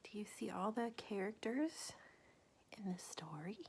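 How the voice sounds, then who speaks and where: A woman reading a picture book aloud to children like a teacher, gently pausing to ask questions and point things out. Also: medium pitch, lively intonation, creaky, a little hiss or hum.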